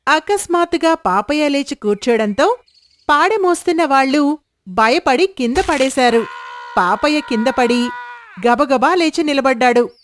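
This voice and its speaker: A woman's voice: high voice, expressive, a little slow. A woman narrating a moral folk tale in a scripted storybook style.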